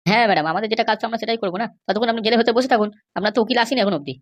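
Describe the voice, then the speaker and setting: A woman's voice: fairly high pitch, racing, natural voice. A woman voicing a sly, insistent male schemer and constable in an amateur comedy cartoon. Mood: dismissive, insistent.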